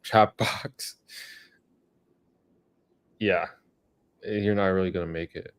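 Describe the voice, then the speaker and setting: Frank man with a low voice. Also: fairly even intonation, slow pace, clear, resonant tone. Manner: frank, motivational, tough-love. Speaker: co-host answering chat questions. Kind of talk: casual live-stream chat.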